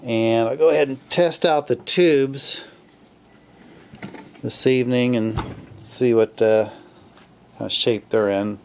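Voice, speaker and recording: A man casually narrating his radio restoration at a home workbench, relaxed and full of fillers as he thinks aloud. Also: medium pitch, slow pace, long pauses, slight background noise. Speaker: hobbyist restoring a vintage radio.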